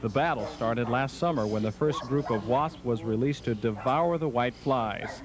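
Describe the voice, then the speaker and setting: A man's voice: mid-range voice, normal speed, crowd noise. A man narrating a scripted television news report.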